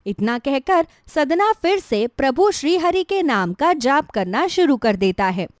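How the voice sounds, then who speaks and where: A woman's voice: high voice, very animated, a little slow, dry recording, studio quality. A woman narrating a moral tale as a scripted bedtime story.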